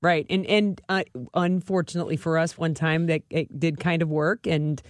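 A woman's voice: deep voice, flat delivery, moderate pace. An American woman co-hosts a sports radio show in an informal, conversational style. She phrases things loosely and repeats words for emphasis. The sound is dry and studio-like, without echo. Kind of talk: casual sports chat.

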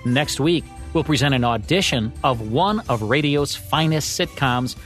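A man's voice: medium pitch, expressive, measured pace, a bit bright, soft background music. An American man co-hosting a radio show, reading scripted commentary on classic broadcasts.

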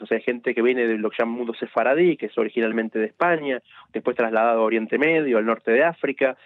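A man from Buenos Aires with an Argentine accent, giving explanatory interview answers in a halting way, repeating words as he builds his point. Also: mid-range voice, dry recording. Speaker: interview guest, rabbi and journalist. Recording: dull, lo-fi radio line.

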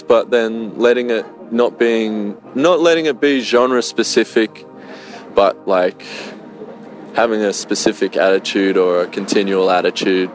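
A male musician talking reflectively in an interview, often pausing to find his words. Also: lowish voice. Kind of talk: interview answer.

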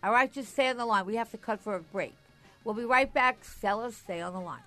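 A woman hosting a live radio talk show, chatting casually and briskly steering callers. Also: a little high, fairly bright tone, faint music behind.

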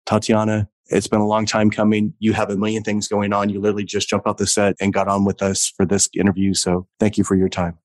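A man hosting an interview podcast, introducing his guest in an energetic, upbeat way. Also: fairly low pitch, fast, few pauses, no echo. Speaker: podcast host.

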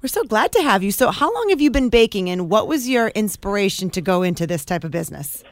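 A woman co-host asks interview questions in a conversational manner. Her pitch is average and the sound is dry, free of room echo. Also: sing-song, fast talker. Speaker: radio show co-host.

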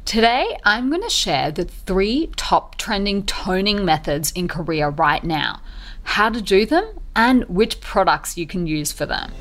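A woman's voice: medium pitch, very animated, measured pace. A woman hosting a podcast, explaining skincare methods in an upbeat, chatty way. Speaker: podcast host. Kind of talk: podcast monologue.

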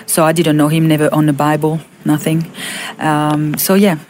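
A woman in her fifties from Monaco with a French accent, telling her personal story in an interview, halting with fillers and restarts. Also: sits low, little pitch movement, a little mellow, slight background noise. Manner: halting, many fillers and restarts, laughs often. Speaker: guest.

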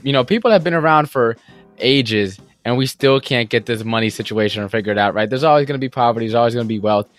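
A young man in his twenties, a guest entrepreneur, telling his story in an interview in an earnest, fast-flowing way with many "you know"s and "right?"s. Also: medium pitch, faint music behind. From United States. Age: young adult.

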